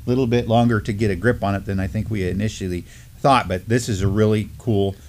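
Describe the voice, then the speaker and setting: A man's voice: on the low side, fairly even intonation, a little fast, darkish tone, a little hiss or hum. A middle-aged man with an American accent hosting a casual news discussion in an informal, enthusiastic way. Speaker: news show host. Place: indoor studio at a table.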